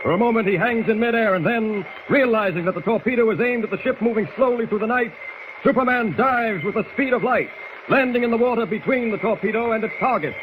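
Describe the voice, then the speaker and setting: American man, high voice, grandiloquent dramatic narration, 1940s radio serial, slightly noisy old recording. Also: quick pace, phone-quality sound. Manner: urgent, grandiloquent.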